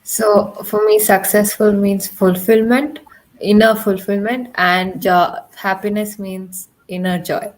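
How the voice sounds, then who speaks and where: A woman gives her answers in a group discussion. Her voice is of medium pitch, and her delivery is conversational. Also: little pitch movement, slow pace, low-quality recording. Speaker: panelist.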